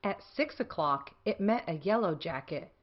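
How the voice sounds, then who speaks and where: A woman's voice: on the low side, expressive, normal speed, highs cut off. A woman narrating a children's picture book aloud as a scripted story reading.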